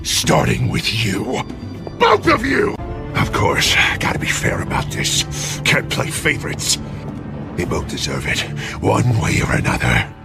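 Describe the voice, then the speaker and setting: Menacing gravelly crime boss villain, super swingy pitch, unhinged. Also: male voice, wildly swooping pitch, a little slow, heavily acted. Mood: cold menace. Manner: threatening, swinging between growl and yell. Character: vengeful split-personality gangster villain, menacing and unhinged. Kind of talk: villain character acting. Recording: background music.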